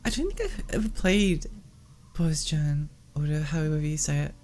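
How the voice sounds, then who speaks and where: A woman's voice: deep voice, lively intonation, normal speed, darkish tone. A woman livestreaming a video game, chatting casually and expressively, her voice worn and prone to cracking. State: tired, cracking voice from losing it. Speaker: live game streamer. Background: game music.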